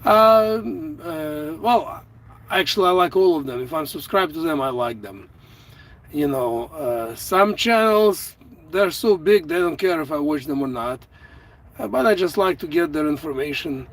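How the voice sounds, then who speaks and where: A middle-aged man from Ukraine, a native Russian speaker with a Russian accent, chatting casually on a live stream in slow, halting speech full of fillers and restarts, recorded on a phone in a vehicle. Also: fairly high pitch, a bit bright. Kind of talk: casual live-stream chat, reading out viewer comments. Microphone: phone without an external microphone.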